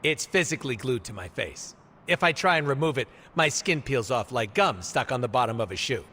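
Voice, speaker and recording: An actor playing a cocky, irreverent mercenary antihero in a video game, with a jokey, sarcastic, theatrical delivery. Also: male voice, mid-range voice, lively intonation, a little fast, bright, forceful tone, slight background noise. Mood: flippant. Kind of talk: video game cutscene acting.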